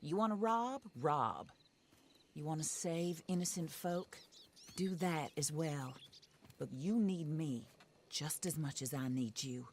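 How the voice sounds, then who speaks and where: A woman playing a composed, vengeful wealthy widow in a western video game, speaking in a genteel, formal and deliberate way. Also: deep voice, slight background noise. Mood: grave, resolute. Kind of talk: video game cutscene acting.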